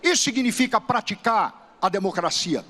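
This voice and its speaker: A man's voice: high voice, lively intonation, moderate pace, pushed and bright. A man with a Brazilian accent giving a declamatory public speech, building on repeated phrases, in a large hall before an audience. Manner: declamatory, rhetorical repetition. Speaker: law faculty director. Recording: reverberant hall with crowd.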